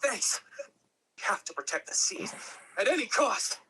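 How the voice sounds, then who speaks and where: A woman's voice: on the high side, big pitch swings, measured pace, bright, forceful tone, a little hiss or hum, full character voice, rough recording. A woman voicing a brave, brash heroic guardian in a declamatory, urgent way at a script table read.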